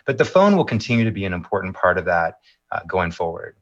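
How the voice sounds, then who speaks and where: Measured middle-aged man, low voice. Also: sing-song, lo-fi sound. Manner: measured, polished talking points with fillers. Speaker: interview guest, maps executive. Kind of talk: corporate interview answers.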